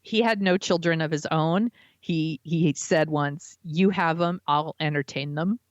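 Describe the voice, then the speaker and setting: Low-pitched woman chatting casually. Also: a little slow, dry recording, highs cut off. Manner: enthusiastic, informal. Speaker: podcast co-host. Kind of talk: casual podcast chat.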